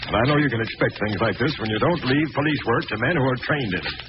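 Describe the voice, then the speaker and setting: An actor playing a gruff, skeptical police commissioner in an old radio drama, brusque and matter-of-fact. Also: male voice, mid-range voice, lively intonation, quick pace, natural voice. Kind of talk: 1940s radio acting. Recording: muffled, noisy old radio recording.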